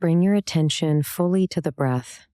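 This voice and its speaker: A woman leading a guided meditation, giving calm, gentle, soothing instructions with pauses between phrases. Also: low voice, dry recording, studio quality. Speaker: meditation guide, yoga teacher.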